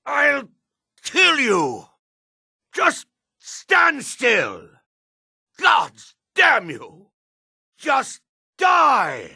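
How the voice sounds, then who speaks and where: An actor playing a gruff, wary, embattled male dark elf with a raspy, gravelly voice in a fantasy video game. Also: sits high, dry recording, clean studio sound, full character voice. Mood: combative, angry.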